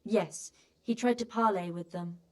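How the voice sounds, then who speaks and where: A woman's voice: mid-range voice, unhurried, close to an everyday voice. A woman voicing a flustered, evasive peasant wife. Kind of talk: video game cutscene acting.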